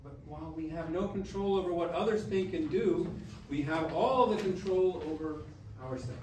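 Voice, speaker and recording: A man's voice: on the high side, moderate pace, darkish tone, close to an everyday voice. A man acting a playful, loving grandfather in a staged reading, with an emphatic, theatrical delivery. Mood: earnest, firm. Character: playful, loud, loving grandfather back from death. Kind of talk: staged musical reading, acted dialogue. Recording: distant, echoey room with faint noise.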